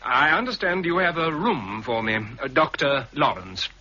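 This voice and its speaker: An actor playing Doctor Lawrence, a hesitant, secretive newcomer, in a 1960s radio drama. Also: male voice, fairly high pitch, very animated, normal speed, sharp tone, heavily acted. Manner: hesitant, evasive, clipped. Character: Doctor Lawrence, wary, secretive newly arrived man. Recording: dull lo-fi archive radio recording, faint hiss.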